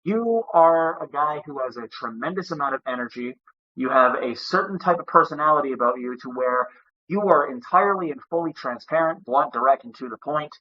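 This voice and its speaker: A man's voice: a little high, expressive, fairly quick. Wordy male podcast host, lo-fi sound. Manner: long-winded, wordy. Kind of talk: interview host monologue. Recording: dull lo-fi sound.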